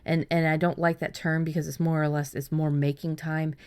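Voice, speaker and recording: A woman with a fairly low-pitched voice hosts a writing podcast. She talks in a loose, chatty way, often restarting and repeating words as she goes. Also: flat delivery, brisk, a little mellow, clear, resonant tone. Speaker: podcast host. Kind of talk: casual podcast chat.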